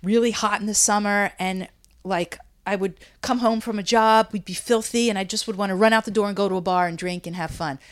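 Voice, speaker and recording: A woman in her fifties talks in a loose, chatty way, laughing and circling back as she speaks. She sounds relaxed and unscripted. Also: medium pitch, fairly quick. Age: 50s. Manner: chatty, laughing, rambling with restarts. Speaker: beauty brand founder. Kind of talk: casual interview chat. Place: Brooklyn.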